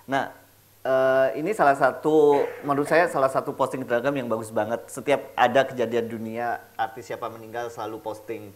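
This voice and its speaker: A man's voice: medium pitch, fairly even intonation, a little fast. A man hosts a casual celebrity interview. He is playful and slangy, and drops English words into his talk. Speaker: talk show host.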